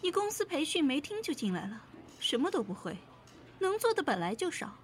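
A woman playing a bossy senior office colleague in an audio drama, sharp-tongued and impatient. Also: high voice, big pitch swings, a little fast. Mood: scolding. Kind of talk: modern audio drama acting. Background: faint music.

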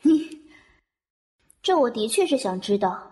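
A woman's voice: a little high, very animated, measured pace, a little mellow. A woman playing a proud young female angel, curt and defiant, in an audio drama. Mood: cold. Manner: cold and curt, flaring sharply. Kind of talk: Chinese audio drama acting.

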